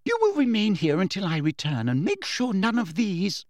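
A man's voice: high voice, wildly swooping pitch, moderate pace, a little mellow, dry recording, studio quality, pitched above the performer's own voice. A man voicing a domineering, greedy older woman official with an imperious, eager way of questioning. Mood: harsh, imperious. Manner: imperious, harsh, eager interrogation.

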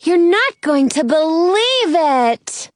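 A woman plays a cheerful, adventurous storybook girl, excitable and eager. The voice is very high and lively, rising and falling a lot, and recorded dry and close. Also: slow pace, dry recording, exaggerated voice. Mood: excited, calling out. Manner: excitable, calling out. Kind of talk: children's storybook character voice.

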